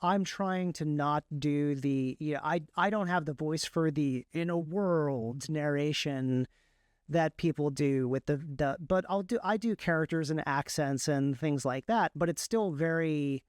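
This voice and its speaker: A man with a clear, smooth voice pitched a little high, recorded very dry. He talks as an interview guest, explaining conversationally and often stopping to correct himself. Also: darkish tone, no echo. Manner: halting, self-correcting, explanatory. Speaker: podcast guest, author and audiobook narrator.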